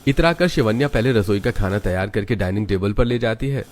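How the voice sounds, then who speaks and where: A man giving scripted narration for an animated family story. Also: on the low side, brisk.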